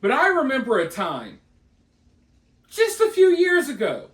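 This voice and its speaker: Male news vlogger, high register, casual opinionated commentary, recorded with noticeable room echo. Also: huge pitch swings, unhurried, fairly bright tone. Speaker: host of a news commentary vlog. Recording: roomy, echoey voice recording.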